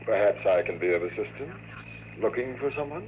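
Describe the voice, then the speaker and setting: An actor playing a formal, measured and menacing expert in a 1950s radio drama. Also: male voice, fairly low pitch, lively intonation, lightly acted. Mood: coolly menacing. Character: shady, coolly menacing male longevity expert with a gun. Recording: noisy, dull 1950s radio recording.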